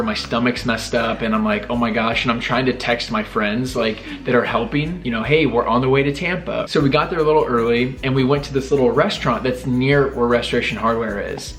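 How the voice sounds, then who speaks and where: A young man recounts a personal story in a relaxed sit-down chat. His voice sits at a middle pitch and he talks in an animated, self-deprecating way. Soft music plays faintly underneath. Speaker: vlogger telling proposal story. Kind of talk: casual storytelling with wife.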